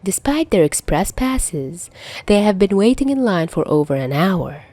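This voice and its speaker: A woman's voice: mid-range voice, sing-song, measured pace, warm tone, dry recording. A woman narrating a scripted story in the manner of an audiobook reader. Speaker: podfic narrator.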